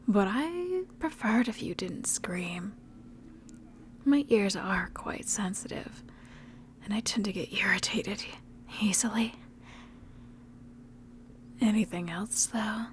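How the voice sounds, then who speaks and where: A woman voicing a seductive, predatory, ancient vampire in a breathy, intimate whispered ASMR roleplay. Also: medium pitch, a little hiss or hum, natural voice. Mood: menacing, controlled. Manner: slow, intimate, menacing endearments.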